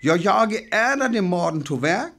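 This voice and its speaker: A man's voice: sits high, big pitch swings, a little slow, vocal fry, a bit bright, full character voice. An actor with a North Frisian accent playing a bitter, agitated survivor in a radio play, rambling intensely about his schemes. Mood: brooding, agitated.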